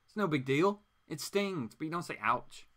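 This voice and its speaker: A man's voice: on the high side, normal speed, a bit bright. A man hosting a live show, casually reading comics aloud and commenting with mock-incredulous asides.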